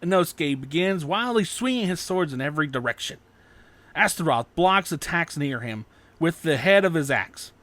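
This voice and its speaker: A man's voice: a little high, expressive, moderate pace, a bit bright, dry recording. A man narrating scripted fan fiction as an audiobook.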